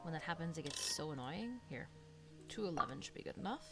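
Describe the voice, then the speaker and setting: A woman's voice: sits low, expressive, fast talker. Giggly girl streamer, casual, bouncy intonation, game music blasting behind. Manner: giggly, informal, reads some lines aloud. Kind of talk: casual game playthrough commentary. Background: video game music.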